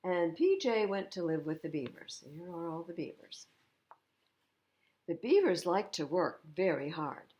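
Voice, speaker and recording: A woman's voice: lowish voice, animated, a little slow, darkish tone, lo-fi sound. A woman reading a picture book aloud to young children, expressively, with explanatory asides about the pictures. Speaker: children's storytime reader.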